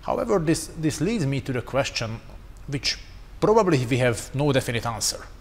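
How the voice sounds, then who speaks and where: A man whose first language is Hungarian, speaking English on a conference panel in a measured, analytical way. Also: medium pitch, very animated. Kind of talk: panel discussion. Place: conference hall. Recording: reverberant hall with faint noise.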